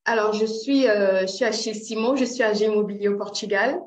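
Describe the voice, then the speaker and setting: A woman's voice: medium pitch, a little fast. A woman from Cameroon, a real estate agent, giving an online presentation with many fillers and restarts. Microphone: video call. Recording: roomy, dull video-call audio.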